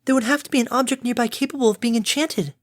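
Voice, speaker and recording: Woman voicing a high, prim, anxious pony mare. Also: quick pace, natural voice. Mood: flustered insistence. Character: timid, prim female pegasus pony, anxious and precise. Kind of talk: animated audiobook character acting.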